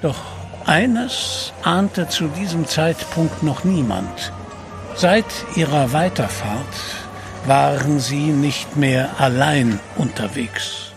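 A man narrating an adventure audio drama in a measured, suspenseful storyteller's manner. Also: on the high side, expressive, gravelly, music in the background, exaggerated voice.

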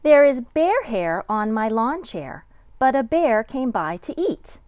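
High, animated woman reading slowly to children. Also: no echo. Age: middle-aged. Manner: deliberate, sing-song storytelling with dramatic emphasis. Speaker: kindergarten teacher. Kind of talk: expressive picture-book read-aloud for young children.

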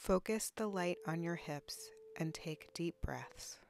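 A woman leading a guided body scan meditation in a soothing, unhurried, instructional way with long pauses. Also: on the low side, gravelly. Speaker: guided relaxation narrator, counselor.

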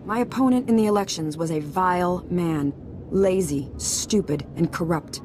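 A woman playing a proud, resolute middle-aged senator in a video game, formal and measured. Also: lowish voice, a little mellow, natural voice. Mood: earnest, defiant. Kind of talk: video game cutscene acting. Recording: game cutscene with clear ambient noise.

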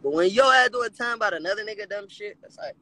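A young man streams from inside a car, the phone held out at arm's length. He talks casually and animatedly, his fairly high voice dipping into low mutters before leaping up again. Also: lively intonation, fast, pushed and bright, low-quality recording. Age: young adult. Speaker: rapper hosting a livestream. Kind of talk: casual livestream chat.

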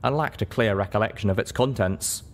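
A man's voice: lowish voice, fairly quick. A man narrating fiction expressively as an audiobook reader.

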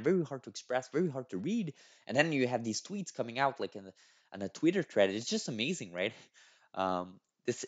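A young man, not a native English speaker, reading and commenting on a thread as a channel host, restarting words and adding tag questions. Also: fairly low pitch. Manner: repeats and restarts words, many "right?" tags. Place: small room at a desk. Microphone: headset. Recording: dull lo-fi audio.

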